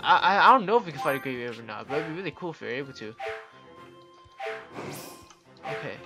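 Young man gaming over loud music. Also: on the high side, animated. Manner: thinking aloud, halting, repeats himself. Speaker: gaming video host. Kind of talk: casual let's play commentary. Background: game music and effects.

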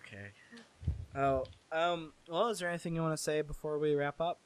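A man's voice: a little high, fairly even intonation, fairly quick, smooth, no echo. A man co-hosting a casual chat, prompting the others to speak. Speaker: co-host.

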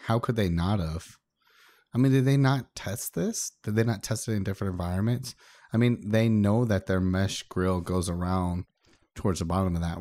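A man's voice: sits low, a little mellow, no room sound. A man co-hosting a podcast in casual, conversational banter. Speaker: podcast co-host.

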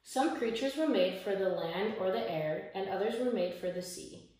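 A young woman reading a children's picture book aloud in measured, rhythmic verse, with the odd small stumble. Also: medium pitch, expressive, a little mellow. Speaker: story time reader. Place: small indoor room, seated by a window. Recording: echoey room, distant mic, faint noise.

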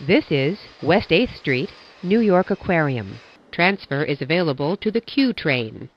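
Woman doing subway announcements, measured and carefully spoken, kinda dull lo-fi audio. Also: low voice, sing-song. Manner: measured, formulaic, carefully enunciated. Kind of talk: recorded transit announcement.

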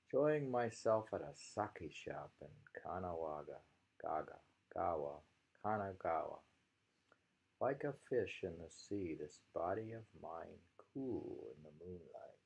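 A man in his sixties reading poems aloud with informal commentary, musing and pausing as he goes in a gravelly voice. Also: low voice, expressive, darkish tone. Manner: unhurried, musing, with pauses. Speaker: poetry reader. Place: small room indoors at home.

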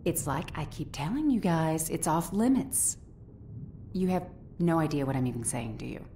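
A woman's voice: low voice, lively intonation, normal speed, dark tone, a little hiss or hum. An actress playing a sultry, sassy female scientist in a video game, with seductive, sarcastic patter. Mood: exasperated, sassy. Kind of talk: video game cutscene acting.

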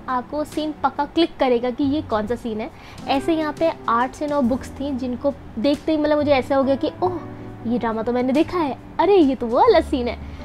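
A woman's voice: high voice, darkish tone. A young woman narrating her vlog as a voice-over, chatty and upbeat, talking straight to her viewers. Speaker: food and event vlogger. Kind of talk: voice-over narration mixing Hindi and English.

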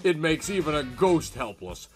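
A man's voice: fairly high pitch, big pitch swings, moderate pace, faint music behind, voice pushed down. A man playing a stern, scheming husband, melodramatically, in a horror comic reading. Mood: vengeful. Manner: melodramatic, emphatic.

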